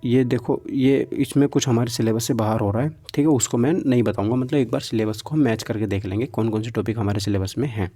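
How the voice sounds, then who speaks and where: Casting: male tutor, soft-edged mid-range voice, explaining point by point with English terms and regular check-in tags. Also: medium pitch. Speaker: exam-prep physics teacher. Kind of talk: informal lecture going through a syllabus.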